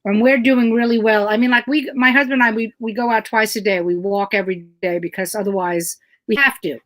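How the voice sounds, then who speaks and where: A middle-aged woman comedian with a loud voice, ranting emphatically and swearing freely in casual chat. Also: medium pitch, fairly even intonation, a little fast. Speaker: comedian guest.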